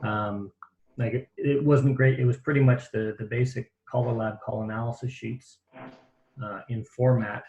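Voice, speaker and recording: A man's voice: medium pitch, fairly even intonation, normal speed, darkish tone. A man hosting an online training session, explaining things in a rambling, self-deprecating way over a video call. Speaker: host of a caller training session. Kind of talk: casual online meeting chat. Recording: echoey room, dull sound.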